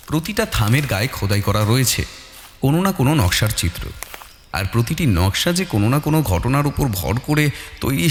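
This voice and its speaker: A man narrating a detective story in the first person with expressive, dramatic delivery. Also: medium pitch, slight background noise. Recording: long reverb, music bed. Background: soft music.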